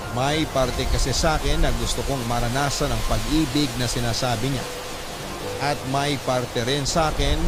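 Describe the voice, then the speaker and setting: A man's voice: medium pitch, very noisy. A man narrating a scripted first-person letter for a radio drama.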